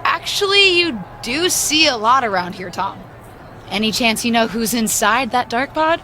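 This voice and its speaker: Game cutscene role: bantering young female wrestler, bright and higher-pitched, conversational, a bit guarded. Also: sing-song, normal speed. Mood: teasing, probing. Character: young woman pro wrestler, friendly, wary, bantering. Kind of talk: video game cutscene dialogue. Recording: faint background noise.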